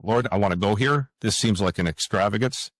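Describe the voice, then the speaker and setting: A man with a low voice and a close, dry sound talks reflectively in an interview. He is earnest and halting, often doubling back on his words as he explains himself. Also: no echo. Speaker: podcast guest, fantasy writer.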